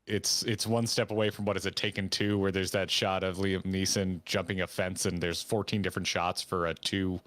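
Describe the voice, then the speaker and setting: A man's voice: low voice, little pitch movement, moderate pace, hardly any pauses, a bit bright. A man co-hosting a gaming stream, giving casual, conversational reaction commentary with enthusiasm for small details.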